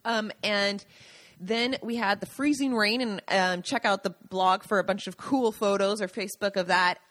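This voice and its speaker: A woman's voice: mid-range voice, moderate pace, a bit bright. A woman co-hosting a casual podcast chat, chatty and playfully teasing. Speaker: podcast co-host.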